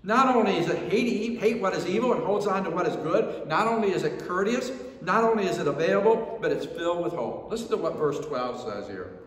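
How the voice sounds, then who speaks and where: A man's voice: high voice, expressive, brisk. A middle-aged man with an American accent preaching a sermon as a pastor, earnest and emphatic, repeating phrases for stress. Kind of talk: sermon with scripture reading. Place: church sanctuary, standing at a lectern. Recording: very echoey church hall.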